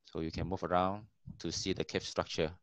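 A man giving a casual online talk about cave surveying, pausing often to search for words over a video call. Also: sits low, little pitch movement, no echo, dull audio. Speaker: cave explorer presenting.

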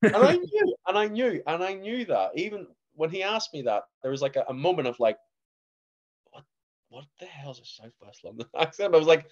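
A young man with a Northern Irish accent, a guest actor telling stories in a casual podcast chat, animated and laughing often. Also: a little high, a little fast, lo-fi sound. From Northern Ireland.

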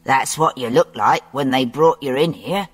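A woman's voice: very deep voice, moderate pace, pitched below the performer's own voice. A woman voicing a cheerful, patient male hospital orderly, chatty and good-humoured in an audiobook. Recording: long reverb with faint background music.

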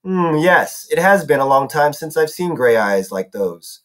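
Male reader voicing an old woman: sweet, lonely, quietly menacing; soft coaxing delivery, formal phrasing. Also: fairly high pitch, big pitch swings, a little slow. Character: sweetly sinister old woman, lonely garden statue maker.

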